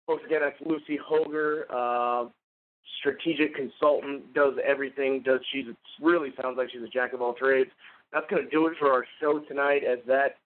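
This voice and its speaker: Guy hosting a podcast, effusive and rambly, mid pitch, thin phone-line sound. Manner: effusive, rambling with fillers and restarts. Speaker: podcast host. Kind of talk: casual show outro.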